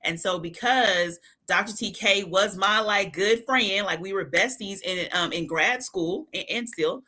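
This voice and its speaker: Woman, 30s, from Oakland; bright, forceful co-host, high energy. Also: a little slow. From East Oakland, California. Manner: energetic, laughs often, repeats points. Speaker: financial psychologist, co-host. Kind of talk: live stream chat.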